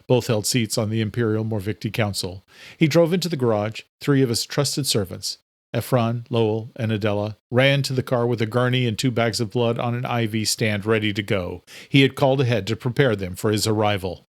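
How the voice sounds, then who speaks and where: A man's voice: fairly low pitch, brisk, no echo, studio quality. A man reading scripted narration for a thriller audiobook.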